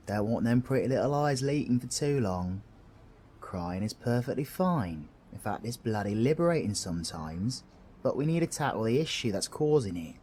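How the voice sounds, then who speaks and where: A man's voice: mid-range voice, warm tone, slight background noise, lightly acted. A British man playing a cheeky, kind-hearted supervillain in an audio roleplay, chummy, slangy and reassuring, with a bit of mild swearing. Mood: playful concern, then warm, cheeky. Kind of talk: scripted audio roleplay addressed to the listener.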